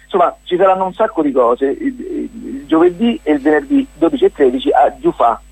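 A man with a Roman accent chatting informally as a guest on a radio show, halting, rambling and joking at his own expense. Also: high-pitched, wildly swooping pitch, gravelly, darkish tone, husky, no echo, low-quality recording. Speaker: guest presenting a cultural festival. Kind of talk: informal radio interview, unscripted chat.

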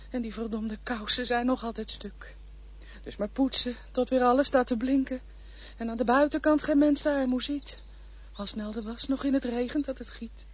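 A woman's voice: on the high side, a little mellow, breathy edge. A woman playing a worn-out, bitter mother. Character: weary overworked mother darning socks. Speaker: actor in a radio play. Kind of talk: radio drama acting. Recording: dull lo-fi recording with faint hiss.

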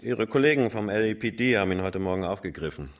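A low-pitched actor as a middle-aged male investigator in a radio drama. He is dry and businesslike, keeping his phrases clipped and matter-of-fact. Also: lively intonation, fast talker, background noise, natural voice.

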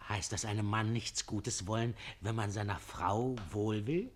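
An actor playing a sly, gossiping servant and go-between in a German radio play, oily and mock-deferential with a sniggering delivery. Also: male voice, fairly low pitch, a little slow. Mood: sly, taunting.

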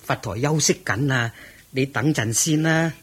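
An actor playing a protective, dutiful monk attendant in a radio drama, with a husky voice. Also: male voice, mid-range voice, measured pace. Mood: firm, refusing. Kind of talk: Cantonese radio drama acting.

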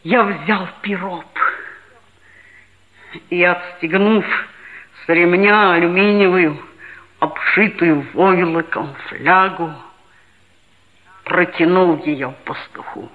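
An actor playing an earnest, self-assured schoolboy, a geologist's son, who narrates and talks in a measured way in a 1960s radio play. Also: mid-range voice, steady tone, narrow, tinny audio, natural voice. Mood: earnest, storytelling. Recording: band-limited 1960s radio play recording.

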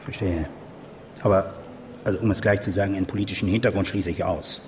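An actor playing a self-important, hurried conservative politician in a radio drama. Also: male voice, low-pitched, fairly even intonation, background noise, close to an everyday voice. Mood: defensive.

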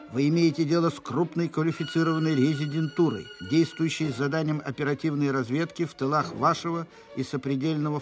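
Man acting wartime dispatch reader, radio drama, slightly high voice, precise, impersonal, faint music behind. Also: lightly acted. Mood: urgent, official. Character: formal military reader of urgent coded dispatches. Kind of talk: scripted document reading in radio drama.

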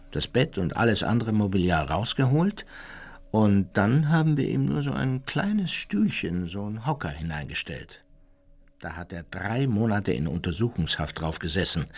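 A man's voice: on the low side, a little mellow, husky. An actor playing an older, unrepentant Nazi war criminal, smug and matter-of-fact as he recounts his memoirs in a radio drama. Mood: smug reminiscing. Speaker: narrator of his own memoirs. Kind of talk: radio drama monologue, reminiscing.

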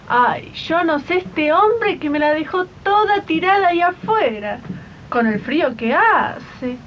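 Actress as high-voiced, wheedling lady of the house. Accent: Argentine. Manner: wheedling, sugary, breezy. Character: pushy, falsely sweet, exploitative lady employer. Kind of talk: 1980s radio drama acting. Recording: dull, noisy 1980s archive radio tape.

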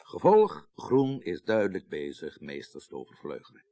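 An actor playing a shrewd, wry, middle-aged senior politician in a radio drama, speaking Netherlands Dutch with a gravelly, urbane delivery. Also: male voice, low voice, huge pitch swings, moderate pace, dark tone, no echo. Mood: confiding, measured. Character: shrewd, worldly male senior politician, wry party leader. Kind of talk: 1980s radio drama acting.

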